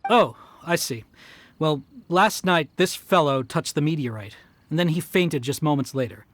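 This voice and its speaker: A man's voice: on the high side, expressive, moderate pace, a little hiss or hum, close to an everyday voice. A man voicing a worried villager who earnestly warns visitors to be careful. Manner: earnest, cautious. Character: worried male villager warning strangers.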